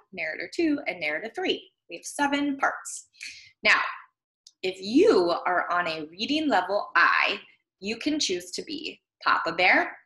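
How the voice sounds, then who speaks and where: Bright-voiced young American woman teaching. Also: medium pitch. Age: young adult. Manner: simple, step-by-step explaining. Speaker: primary school reading teacher. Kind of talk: instructional screen-share lesson for young children. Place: small room at home. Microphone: computer webcam, video recording. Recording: roomy home computer microphone sound.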